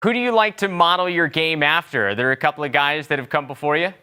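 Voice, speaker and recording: Bright, smooth-voiced male sports show host. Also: a little high, quick pace. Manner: upbeat, conversational questioning. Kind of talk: sports talk show hosting.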